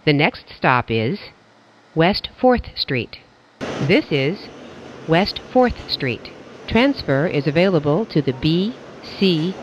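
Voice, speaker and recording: A train announcer reading scripted transit announcements in a clipped, measured, formal way. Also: mid-range voice. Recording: dull lo-fi audio with clear background noise.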